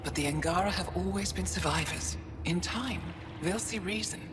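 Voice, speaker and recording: A composed alien researcher , played by a woman, makes her case in even, measured sentences. A long reverb trails each line and a noticeable hiss of ambience sits behind her. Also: lowish voice, close to an everyday voice. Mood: persuasive. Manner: measured, persuasive. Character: calm alien woman scientist poacher. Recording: reverberant game audio with hiss.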